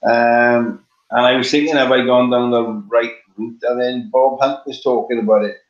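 A man's voice: mid-range voice, fairly even intonation, normal speed. A middle-aged man with a Welsh accent, chatting on a video call from his living room, with many ums and restarts. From Wales. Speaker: guest, competition flyer. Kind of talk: casual video call chat. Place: home living room, on a couch. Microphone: webcam on a video call. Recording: roomy, dull lo-fi webcam sound.

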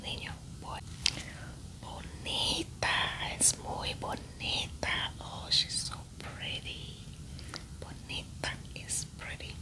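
A woman with a Spanish-speaking accent, rolling her r's, whispers slowly through a Spanish vocabulary lesson in an ASMR style, repeating each word with care. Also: poor audio. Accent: Spanish-speaking, rolls her r's. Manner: slow, soft whispering with careful repetition. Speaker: Spanish teacher.

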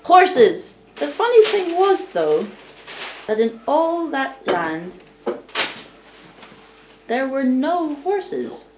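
Slow-paced woman storyteller in an echoey room. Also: sits high, very animated, noisy background. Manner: deliberate, theatrical storytelling. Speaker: storyteller narrating a puppet show. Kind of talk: live storybook narration. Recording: roomy, distant amateur recording.

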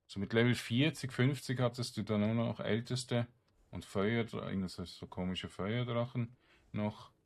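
A man's voice: mid-range voice, steady tone, a little slow, vocal fry. A man giving casual let's-play commentary, grumbling in exasperation and muttering to himself as he plays. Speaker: video game streamer.

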